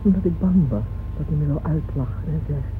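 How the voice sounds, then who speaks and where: Anguished noblewoman, halting intense delivery, radio drama, narrow tinny old recording, music behind. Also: low-pitched, lively intonation. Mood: subdued yearning. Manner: intense, breathy, halting, swelling from hushed to agitated. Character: anguished older Russian noblewoman, devoted, haunted by dreams. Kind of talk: dramatic radio play monologue.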